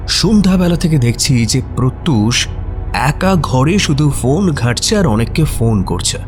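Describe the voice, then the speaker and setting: A man acting a detective's puzzled, admiring friend who narrates a mystery audio story in an expressive, suspenseful way. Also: medium pitch, close to an everyday voice. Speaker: narrator and sidekick character. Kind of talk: dramatized first-person audio story narration. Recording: music behind the voice. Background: music.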